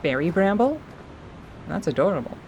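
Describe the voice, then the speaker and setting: Woman playing a deadpan, commanding mercenary leader. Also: lowish voice, a little hiss or hum. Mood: dry, curious. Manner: deadpan, deliberate, authoritative. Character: wry, commanding female mercenary leader with dry dark humor. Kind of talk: radio theatre character acting.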